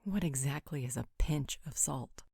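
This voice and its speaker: A woman's voice: very deep voice, normal speed, creaky, mellow, breathy edge, no echo, exaggerated voice. A woman playing a haughty, flirtatious vampire with a dry, sarcastic manner in a roleplay audio drama. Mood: sardonic, dry.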